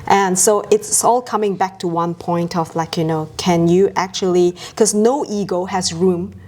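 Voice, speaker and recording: A woman from Singapore, a guest speaking in a gentle, teacherly way and repeating her phrases. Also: lowish voice, steady tone, moderate pace. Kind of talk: casual conversation.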